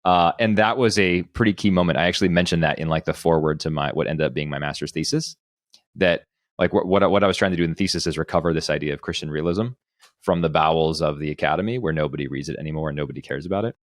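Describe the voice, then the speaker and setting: A man's voice: a bass voice, fast talker, no echo, clean studio sound. A man hosting a casual podcast, telling personal anecdotes in a discursive way, thinking aloud as he goes. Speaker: podcast host.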